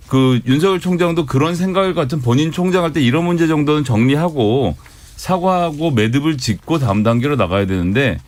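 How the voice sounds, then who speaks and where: A party spokesman in a radio studio debate, a man making a pointed, critical argument. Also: a little high.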